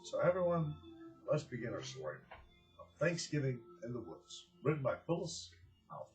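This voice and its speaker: A man with a mid-pitched voice speaks as a presenter in an earnest, heartfelt way. He phrases things deliberately, leaving pauses as if addressing listeners or reading a storybook aloud. Music plays clearly behind him. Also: very animated. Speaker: director of a community organization, presenter.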